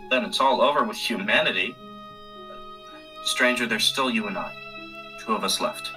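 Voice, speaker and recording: A man's voice: medium pitch, moderate pace, over music, natural voice. A man playing an earnest, weary, uneasy survivor in a radio play, speaking with a dramatic, hesitant delivery. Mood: alarmed, despairing. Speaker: actor narrating in a radio play. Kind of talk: radio drama narration and dialogue.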